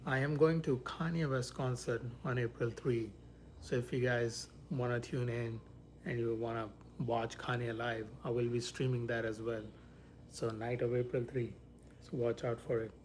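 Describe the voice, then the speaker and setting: A man from India hosts a relaxed livestream, rambling to viewers in an easy, middle-pitched voice. He talks loosely, with many restarts. A faint hiss of background noise sits under the voice. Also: moderate pace. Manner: rambling, shifts to soft whispers near sleeping baby. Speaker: livestream host. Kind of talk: casual livestream chat.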